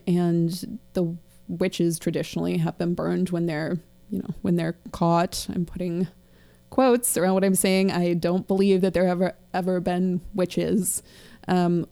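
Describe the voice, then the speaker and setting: A woman in her late twenties chats about books in a casual, digressive way. Her voice sits a bit low and sounds dark and mellow, with a slight crackle. She drops in dry, self-mocking asides as she goes. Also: level tone, measured pace, gravelly. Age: late 20s. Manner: chatty, digressive, wry. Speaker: podcast co-host. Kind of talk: casual book discussion.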